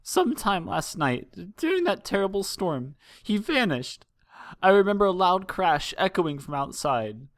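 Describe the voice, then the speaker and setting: A distraught cartoon mother pony, acted out by a man reading a story. The voice is high and breathy, with a tremble and frequent stammers. It pleads tearfully and swings widely in pitch. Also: sing-song, no echo, heavily acted. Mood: shaken, tearful. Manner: halting, stammering through sobs. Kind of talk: fan fiction audiobook character voice.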